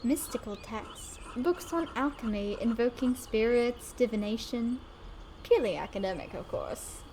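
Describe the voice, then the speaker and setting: An earnest, bookish young artist from a historical game, as a woman reads his lines aloud. The voice is high and lively, bounding up and down, and it trips over the long scholarly names. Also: normal speed, slight background noise, lightly acted, rough recording. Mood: earnest reading. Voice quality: reads in her own voice, stumbling over hard names.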